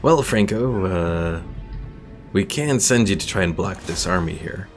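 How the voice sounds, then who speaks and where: A gravelly man you would hear on a late live stream, chatting through his strategy game over clearly audible music. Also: sits low, animated, a little slow. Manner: chatty, thinking aloud, reading out chat. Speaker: gaming streamer. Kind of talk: casual live gameplay commentary. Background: video game music.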